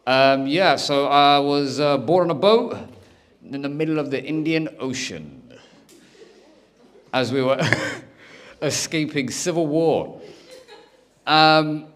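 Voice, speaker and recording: A young man does stand-up on a comedy stage. He tells personal stories and jumps in and out of the voices of the people in them. He keeps the delivery animated and chatty. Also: medium pitch. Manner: animated, acts out every character's lines. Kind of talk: stand-up storytelling.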